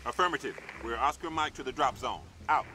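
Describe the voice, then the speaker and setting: A man playing a gruff, practical army sergeant in a video game, commanding and no-nonsense. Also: mid-range voice, fairly quick, natural voice. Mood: businesslike. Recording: clear battlefield and vehicle noise behind.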